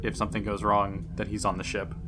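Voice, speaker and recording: A man in a casual tabletop roleplaying session, offering suggestions and dry remarks in a measured way. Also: fairly low pitch, monotone. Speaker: player in a tabletop roleplaying game. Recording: noticeable background noise.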